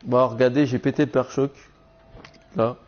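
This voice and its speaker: A man's voice: mid-range voice, fairly even intonation, moderate pace, a little mellow. Cheeky Frenchman, dull lo-fi audio. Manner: cheeky, slangy. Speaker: prank caller and live streamer. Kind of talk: casual prank-call banter over gameplay. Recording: dull lo-fi live stream audio.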